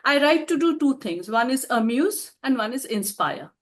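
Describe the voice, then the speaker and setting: Woman in her fifties, high voice. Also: expressive, normal speed. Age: 50s. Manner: reflective, laughs often, restarts phrases. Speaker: author being interviewed. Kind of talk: conversational interview answers.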